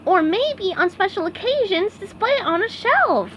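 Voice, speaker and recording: A woman voicing a cheerful, excitable male sea sponge from a cartoon storybook, squealing with excitement. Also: extremely high pitch, moderate pace, slight background noise, voice pushed up, full character voice. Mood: excited.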